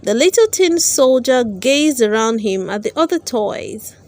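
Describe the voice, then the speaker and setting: A woman narrating a children's storybook aloud, with careful, expressive storytelling. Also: on the high side, measured pace, dry recording. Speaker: storyteller, channel host.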